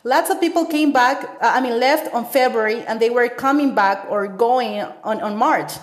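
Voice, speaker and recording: A woman in her thirties from Ecuador with an Ecuadorian Spanish accent, telling her story in an animated interview full of fillers. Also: on the high side, normal speed. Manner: animated, gestures with stories, frequent fillers. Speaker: interviewee, teacher. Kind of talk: conversational interview. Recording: echoey room.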